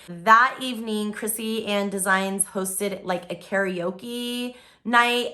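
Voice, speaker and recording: A young woman talks straight to camera at home in a relaxed, rambling way, peppering everything with "like" and "um". Her voice is bright and clear, and she has only recently got it back after losing it. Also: fairly high pitch. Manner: chatty, lots of "like" and "um". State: voice recently lost, recovering. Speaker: vlogger. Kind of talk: casual vlog recap.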